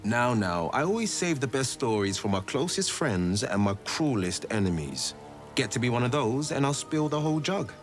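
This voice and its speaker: An actor playing a swashbuckling, boastful young monster hunter in a fantasy video game, with charming, theatrical flourishes. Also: male voice, mid-range voice, very animated, normal speed, faint music behind, close to an everyday voice. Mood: teasing.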